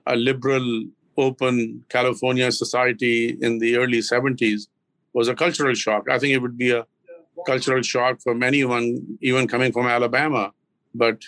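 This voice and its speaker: An older man with a Pakistani accent speaks as an interview guest, reflective and measured. He often pauses and hesitates as he looks for the next word. His voice sits at an average pitch, recorded dry with no room sound. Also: fairly bright tone, no echo, low-quality recording. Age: 60s or older. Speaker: interview guest, business owner.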